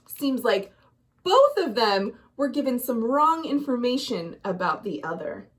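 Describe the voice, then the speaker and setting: Animated, high-voiced American woman storyteller. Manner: dramatic, deliberate emphasis. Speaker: librarian, storytime reader. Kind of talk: expressive children's storybook narration with questions to listeners.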